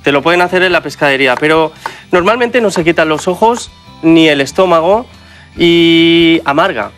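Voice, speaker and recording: Lively Spanish chef explaining a dish. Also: male voice, on the high side, moderate pace, soft background music. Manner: lively, explains ingredients to viewers. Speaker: TV cooking show host and chef. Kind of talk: TV cooking demonstration. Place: TV studio kitchen set.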